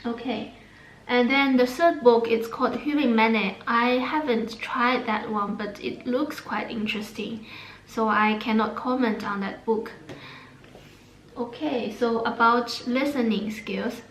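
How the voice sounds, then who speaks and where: A young woman with a Chinese accent talks slowly and haltingly, with many pauses and fillers. Her voice rings in an echoey room over a faint hum. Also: on the high side. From China. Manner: hesitant, many fillers and restarts. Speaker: vlogger. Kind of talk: casual talk to camera about language learning.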